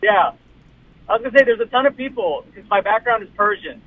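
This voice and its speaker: A man's voice: high-pitched, lively intonation, fairly quick, a little hiss or hum. Young man on a tinny phone line. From United States. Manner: polite, explanatory, slightly hesitant. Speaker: guest. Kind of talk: casual chat.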